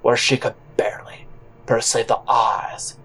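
Slow, halting male audio drama narrator. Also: medium pitch, flat delivery, a bit bright. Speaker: narrator and counter worker character. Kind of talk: first-person storytelling in a comic audio drama.

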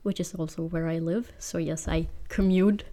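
A woman in her twenties from Denmark, co-hosting a student podcast in casual, low-key chat. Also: steady tone, moderate pace, warm tone. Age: 20s. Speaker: podcast co-host.

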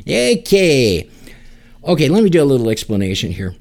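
A man's voice: medium pitch, huge pitch swings, moderate pace, vocal fry, darkish tone. A man hosting a music show, introducing songs casually in a joking way as he talks straight to the listener. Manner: joking, addresses listeners directly. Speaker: podcast host and music DJ.

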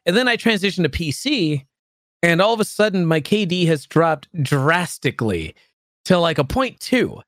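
A man's voice: a little high, sing-song, normal speed, a bit bright, dry recording. Chatty man in his thirties, dry sound. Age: 30s. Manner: laughing often, rambling, self-deprecating. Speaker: streamer. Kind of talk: casual chat.

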